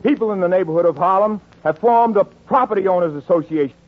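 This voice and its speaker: A man's voice: high-pitched, fast talker. An actor playing an earnest, businesslike chairman of a community meeting in a 1940s radio drama. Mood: resolved. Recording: noisy, phone-like 1940s radio recording.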